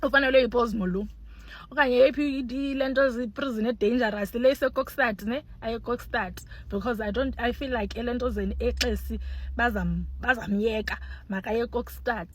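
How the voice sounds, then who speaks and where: A South African woman commenting on a news clip for her channel. She talks in an animated, exclaiming way, switching freely between languages and sounding indignant. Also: a little high, fairly quick, slightly rough. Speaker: online commentator. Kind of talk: casual commentary vlog.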